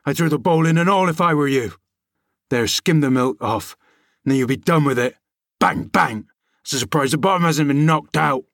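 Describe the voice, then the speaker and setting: A man's voice: on the high side, moderate pace, vocal fry, fairly bright tone, dry recording, clean studio sound, pitched above the performer's own voice. A man reading aloud, voicing a sour, grumbling old male servant who scolds and mocks. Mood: scolding. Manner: scolding, mocking.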